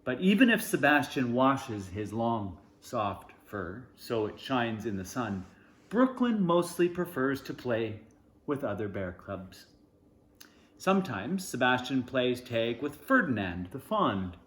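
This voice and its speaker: A man's voice: medium pitch, big pitch swings, takes it slowly, fairly bright tone. Man reading a storybook in an echoey room. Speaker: storybook reader. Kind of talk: children's storybook read-aloud with informal asides.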